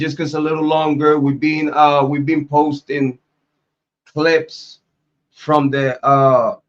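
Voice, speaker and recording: A man's voice: fairly high pitch, dull audio. A man hosting a casual livestream. He talks loosely, repeats himself and laughs often. His voice is still recovering from an illness. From Ohio, US. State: recovering from illness, voice coming back. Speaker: YouTube true crime host.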